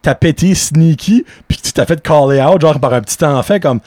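Podcast co-host, man, animated, fairly high voice, dry close recording. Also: fairly quick, no room sound. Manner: animated, laughing, mixes English words into French. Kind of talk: casual chat.

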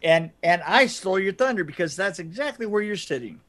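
A man's voice: sits high, animated, fairly quick, a bit bright. A man co-hosting a film podcast in casual chat, emphatic and jokey, often repeating himself. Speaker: podcast co-host reviewing films.

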